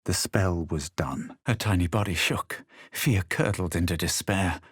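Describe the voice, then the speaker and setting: A haughty fantasy game hero with a low, slightly husky voice. He speaks with measured drama and proud superiority, in a dry, close recording. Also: no echo. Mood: brooding. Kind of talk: fantasy video game voice lines, narration and incantations.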